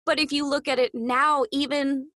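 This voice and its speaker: High-voiced upbeat woman, dry recording. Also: little pitch movement, moderate pace, fairly bright tone, no room sound. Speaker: podcast guest, voice actress. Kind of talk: casual interview talk.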